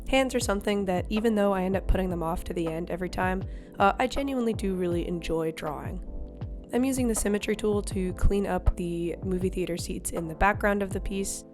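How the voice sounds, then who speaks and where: A woman with a slightly raw throat after being sick, narrating her digital painting in a chatty, easygoing way with small laughs. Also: lowish voice. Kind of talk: casual voice-over commentary. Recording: voice over clear background music.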